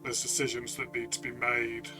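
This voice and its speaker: A man's voice: mid-range voice, flat delivery, normal speed, sharp tone, soft background music. A man co-hosting a review discussion in a casual, conversational style. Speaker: co-host and game reviewer.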